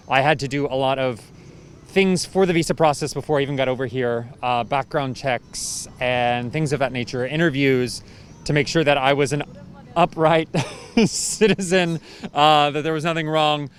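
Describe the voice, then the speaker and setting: Relaxed man from Arkansas. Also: fairly high pitch, moderate pace, a little hiss or hum. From Arkansas, United States. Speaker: interviewee. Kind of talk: casual answers.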